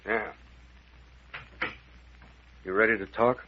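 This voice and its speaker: Commanding captain, husky voice, bossy, clipped, old-time radio drama, dull vintage recording. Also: male voice, on the low side, fairly even intonation, slow pace. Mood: stern, threatening. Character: gruff, commanding captain investigating a murder. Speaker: actor.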